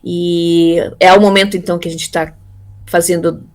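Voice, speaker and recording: A woman in her fifties from Brazil, telling her life story in a relaxed interview, pausing often with fillers and repeated words. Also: on the low side. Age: 50s. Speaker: interviewee, theology graduate. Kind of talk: casual conversational interview.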